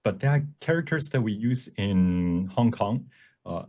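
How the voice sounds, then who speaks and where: Male workshop presenter, low register, explanatory and halting while demonstrating software. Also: expressive. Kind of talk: live software demonstration talk. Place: online presentation at a computer.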